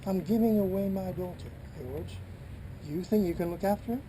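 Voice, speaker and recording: A woman playing a calm soothing father. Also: fairly low pitch, fairly quick, lightly acted. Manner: soothing, firm. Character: calm steady father of the bride. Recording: clear background noise.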